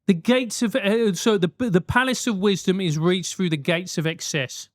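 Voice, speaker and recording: A man's voice: high voice, normal speed, no echo, studio quality. A man with a South London accent, a host giving advice in a casual, emphatic rant, swearing freely.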